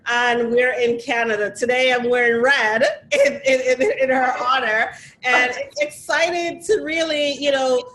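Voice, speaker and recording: Upbeat high-voiced woman host. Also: a bit bright, low-quality recording. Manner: upbeat, welcoming, gushing thanks. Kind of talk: video call interview. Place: New York City.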